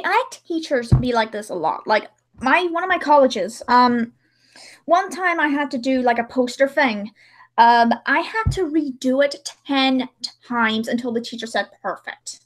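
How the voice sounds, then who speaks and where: A woman hosting a livestream in casual chat, often halting and restarting her phrases as she goes. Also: high-pitched. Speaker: livestream host.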